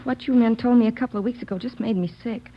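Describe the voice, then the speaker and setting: A woman's voice: a little high, quick pace, a little hiss or hum, lightly acted. An American woman playing a guarded, earnest young office worker under police questioning in a 1950s radio drama, polite and plain-spoken. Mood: anxious, confiding. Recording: dull, muffled old radio recording.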